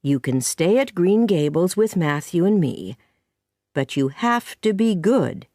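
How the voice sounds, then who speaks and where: Lady reading as strict older guardian woman in a storybook, firm and slow, no-nonsense. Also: on the low side, lively intonation, warm tone, no room sound, studio quality.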